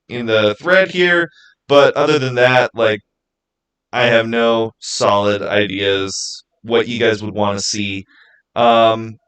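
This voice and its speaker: A man hosting a livestream, talking informally and haltingly as he thinks aloud and answers his viewers. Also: medium pitch, fairly bright tone, poor audio. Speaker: streamer hosting a live channel Q&A. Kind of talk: casual livestream chat, answering viewer comments.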